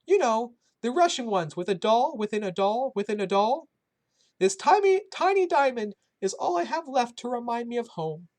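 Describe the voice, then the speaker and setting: A woman voicing a cheerful, kindly old Polish woman who cooks for others, speaking with a Polish accent in a high, doting voice. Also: very animated, moderate pace, darkish tone.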